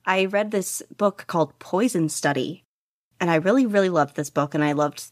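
A woman's voice: lowish voice, moderate pace, no room sound, clean studio sound. A young woman guest on a podcast, talking casually and rambling with lots of "like" and small laughs. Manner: rambling, casual, many "like" fillers, giggly. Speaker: podcast guest, fan fiction writer. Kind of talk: casual interview. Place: bedroom at home.

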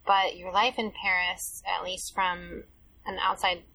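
A woman's voice: on the low side, little pitch movement, unhurried, gravelly, bright, forceful tone, distant mic, a little hiss or hum, low-quality recording. A woman hosting a conversation, asking curious, probing questions. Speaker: host. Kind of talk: interview questions.

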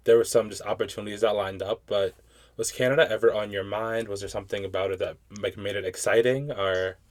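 A man's voice: fairly low pitch, fairly even intonation, fairly quick. A man hosting a podcast, introducing and questioning his guest in a friendly, casual way with lots of "you know" and "like". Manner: friendly, uses many fillers like "you know" and "like". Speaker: podcast host. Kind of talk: casual interview chat.

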